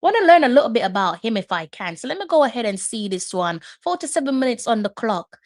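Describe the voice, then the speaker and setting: A woman's voice: a little high, big pitch swings, fast, dry recording, clean studio sound. A woman hosting a live match watch-along, chatting and musing aloud as she reads up on players. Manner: chatty, muses aloud while reading up on players. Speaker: host.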